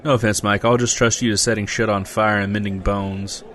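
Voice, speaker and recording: A man's voice: fairly low pitch, flat delivery, a little fast, a bit bright, some chatter behind, lightly acted. A man playing a sarcastic, incredulous everyman in a comedic audio drama. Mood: wry. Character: Jesse, exasperated everyman dragged along to a magic convention.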